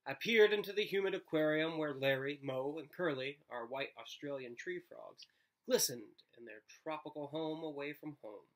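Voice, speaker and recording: A man in his thirties narrating a children's book aloud in an expressive read-aloud style. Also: fairly high pitch, normal speed, low-quality recording. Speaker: storybook reader. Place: living room, indoors.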